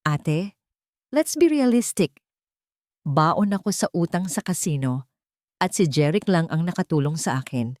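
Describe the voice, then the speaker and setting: A woman's voice: low voice, animated, a little slow, pauses often, a little mellow, no echo, clean studio sound. A woman voicing a greedy younger brother with a condescending, unrepentant manner in an audio story. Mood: smug, callous. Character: greedy, callous younger brother, coldly dismissive.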